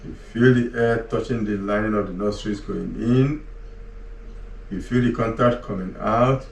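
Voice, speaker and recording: Low gravelly man guiding a slow meditation. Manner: slow, soft, calm step-by-step cues with long pauses. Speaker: meditation guide. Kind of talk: guided relaxation instruction. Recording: roomy echo, voice far from the mic, faint ambient hum.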